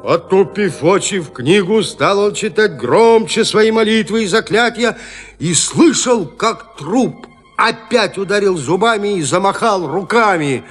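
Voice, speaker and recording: A high-pitched, dramatic male storyteller. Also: a bit bright, exaggerated voice. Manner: theatrical, suspenseful delivery. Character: storyteller of a gothic horror tale, suspenseful. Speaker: narrator. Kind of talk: dramatic scripted narration of a gothic horror tale. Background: music.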